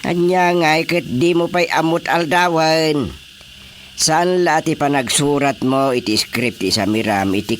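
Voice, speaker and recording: A man's voice: medium pitch, a little hiss or hum, close to an everyday voice. A man playing a chatty, practical village official in a radio drama comedy, talking emphatically.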